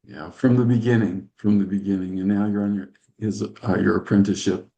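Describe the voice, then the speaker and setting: A man's voice: fairly low pitch, steady tone, fairly quick, vocal fry, warm tone, lo-fi sound. A man hosting a video meeting, calling on people in turn and stammering with repeated words and restarts. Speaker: meeting host. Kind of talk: hosting round of introductions.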